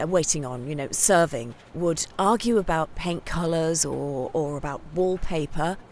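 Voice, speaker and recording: An actress playing an earnest biographer in a mock-documentary interview, casual, hesitant and often correcting herself. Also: female voice, deep voice, a little hiss or hum.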